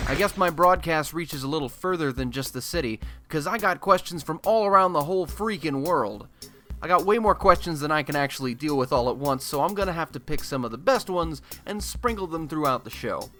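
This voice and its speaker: Casting: male actor as cocky superhero-nerd radio host, bright and very animated, chatty sarcasm, music underneath. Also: mid-range voice. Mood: excited, chatty. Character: nerdy, cocky young man hosting a superhero-city broadcast. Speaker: broadcast host. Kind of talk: casual radio-show monologue in a scripted audio drama.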